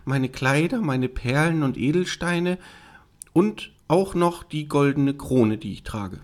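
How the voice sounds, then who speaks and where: Man voicing a high, plaintive young storybook princess. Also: expressive, slow. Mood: eager. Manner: plaintive, childlike, eager delivery. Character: young spoiled princess, girlish and anxious. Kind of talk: fairy-tale storybook character reading.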